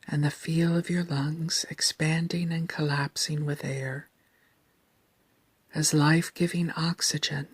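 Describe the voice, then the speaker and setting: Low, breathy woman guiding slow meditation. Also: no room sound. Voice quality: soft, breathy, near-whispered. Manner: calm, deliberate, soothing delivery. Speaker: guided meditation narrator. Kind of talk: slow scripted guided meditation narration.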